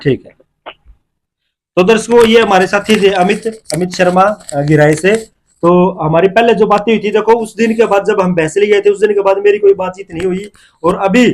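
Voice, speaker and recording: A man's voice: sits high, darkish tone. A man, a video reporter who questions callers on the phone and keeps repeating affirmations. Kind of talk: phone interview.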